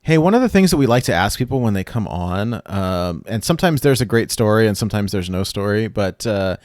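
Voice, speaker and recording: Low-voiced laid-back male podcast host. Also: animated, a little fast, darkish tone, no echo, studio quality. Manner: laid-back, chuckling, lots of "like" and "you know". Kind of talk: casual podcast chat.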